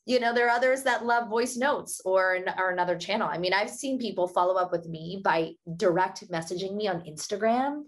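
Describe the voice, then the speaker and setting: A woman speaking as an interview guest and author, running through practical tips in a conversational way. She is upbeat and brisk and often slips a laugh into her points. Her pitch sits in the middle range for a woman. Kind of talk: conversational expert advice.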